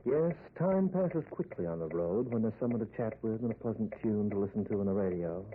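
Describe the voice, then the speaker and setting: An actor playing an ominous narrator of an old-time radio suspense tale, deliberate and dramatic. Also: male voice, lowish voice, lively intonation, phone-quality sound, close to an everyday voice. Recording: thin, noisy old radio recording.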